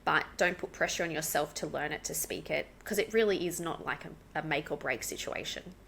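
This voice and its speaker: An Australian woman, an English coach, talking fast and animated as a guest in a casual interview. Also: deep voice, gravelly, breathy edge, low-quality recording.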